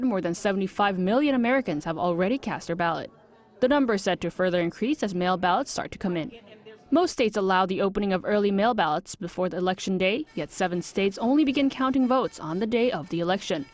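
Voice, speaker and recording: A woman reporter narrates a scripted news package. Her voice sits at a medium pitch. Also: fast.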